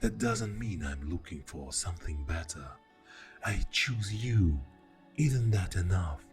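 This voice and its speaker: A man acts out an exasperated boyfriend in an intimate ASMR roleplay. His voice is very low and soft, and he takes his time, sighing and pausing as he defends himself. Music plays behind him. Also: lively intonation. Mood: pleading, earnest. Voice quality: deep, soft, breathy voice. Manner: slow, pausing, with heavy sighs. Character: exasperated, defensive boyfriend arguing with a jealous partner.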